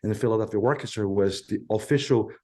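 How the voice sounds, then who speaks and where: A man's voice: fairly low pitch, fast, dark tone. A middle-aged man from China with a Chinese accent, answering in an interview in an earnest, emphatic way, telling his story in short phrases. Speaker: violin professor and interview guest.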